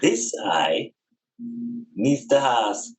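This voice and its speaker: A man's voice: mid-range voice, lively intonation, drawn-out words, rough recording. A man talking spiritual matters in an unscripted podcast conversation, emphatically repeating phrases to drive them home.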